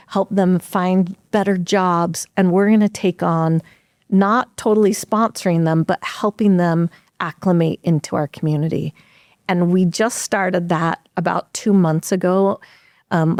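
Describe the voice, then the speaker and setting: A woman's voice: fairly low pitch, darkish tone, no echo, clean studio sound. A woman talking as a guest on a podcast, explaining her nonprofit's work in an earnest, upbeat way with occasional restarts. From United States. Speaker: executive director of a refugee nonprofit, podcast guest. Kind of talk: conversational podcast interview.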